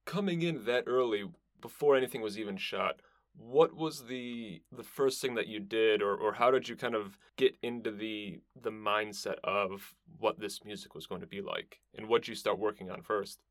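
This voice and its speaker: A man's voice: lowish voice, moderate pace, dry recording. A man hosting a film music podcast, asking loose questions and joking with his guest. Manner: relaxed, joking interviewer. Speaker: podcast host. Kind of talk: casual interview chat.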